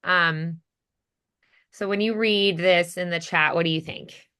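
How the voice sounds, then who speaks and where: A woman's voice: fairly low pitch, steady tone, measured pace, pushed and bright, clean tone. A woman coaching voice acting in a casual one-on-one lesson, thinking aloud and encouraging as she goes.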